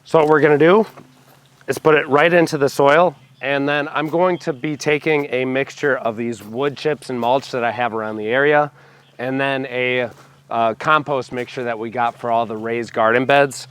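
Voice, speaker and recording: A young man hosting a homestead vlog, hoarse from a cold, explaining his backyard planting step by step with enthusiasm. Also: mid-range voice, steady tone, normal speed, a bit bright. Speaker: homestead channel host. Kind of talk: casual gardening vlog. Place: backyard, outdoors.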